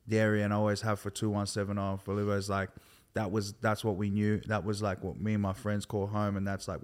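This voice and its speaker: Low, flat-voiced laid-back Australian man. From Sydney, Australia. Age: 30s. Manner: laid-back, loose and rambling, full of "like" and "bro". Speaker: guest, hip hop artist. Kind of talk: casual conversation.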